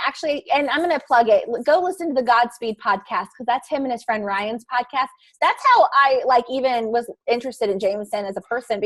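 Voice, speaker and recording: A woman in a video call, explaining how to start a podcast in a rambling, casual way, often searching for words. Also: on the high side. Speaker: podcast guest speaker. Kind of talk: casual how-to chat in an online meeting.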